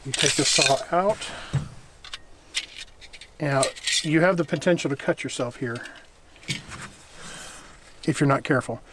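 A middle-aged man casually reviewing camping gear outdoors in a winter forest, explaining in a relaxed, rambling way that corrects itself as he goes. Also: a little high, a little slow, long pauses, very noisy.

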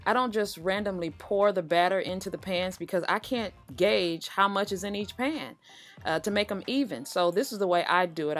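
A woman's voice: fairly low pitch, fairly bright tone. A middle-aged woman hosting a cooking channel, talking viewers through a bake in a chatty, reassuring voice-over. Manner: chatty, reassuring, speaks to viewers as "you guys". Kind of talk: relaxed voice-over baking tutorial.

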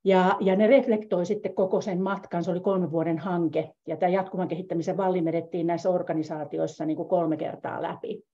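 A woman's voice: lowish voice, fairly even intonation, few pauses, mellow. A middle-aged woman on a video call, speaking reflectively and repeating words as she thinks. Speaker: meetup participant. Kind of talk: casual discussion. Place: home room, indoors. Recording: dull lo-fi video call audio.

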